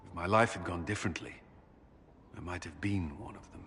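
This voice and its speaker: A righteous, brooding military commander in a fantasy game. His voice is deep and solemn, devout and held back with grave intensity. Faint ambience sits behind him. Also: fairly quick, fairly bright tone, slight background noise. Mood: reflective. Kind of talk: dramatic video game dialogue.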